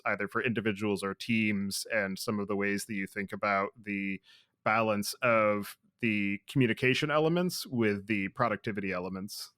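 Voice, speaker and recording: A man hosting a podcast, asking long, structured interview questions in a measured, articulate way. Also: on the low side, fairly bright tone, clear, resonant tone, no echo, studio quality. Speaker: podcast host.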